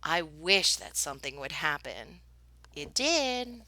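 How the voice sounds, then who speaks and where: Bright-voiced woman reading a storybook aloud. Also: sits low, slow, slight background noise. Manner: dramatic, with whispered suspense and excited exclamations. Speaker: teacher reading a picture book aloud. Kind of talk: expressive children's storybook read-aloud.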